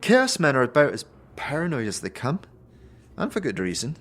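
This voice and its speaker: A woman's voice: a bass voice, huge pitch swings, moderate pace, exaggerated voice. A woman playing a prickly, street-tough young tiefling thief, slangy and snappish, in a fantasy audio drama. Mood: wry, warning. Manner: slangy, snappish, flustered when challenged.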